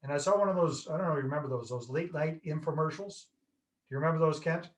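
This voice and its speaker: A man's voice: on the high side, quick pace. A Canadian man in his fifties, a guest telling stories in a casual, jokey, self-deprecating way over a video call. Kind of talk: casual interview chat.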